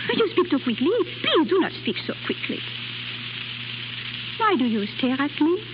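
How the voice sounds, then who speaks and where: High-voiced young Frenchwoman. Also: big pitch swings, moderate pace. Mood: evasive. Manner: hesitant, formal, slightly stilted English. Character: young Frenchwoman, proud yet shy and flustered. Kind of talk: 1930s radio drama acting. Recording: muffled, noisy 1930s radio recording.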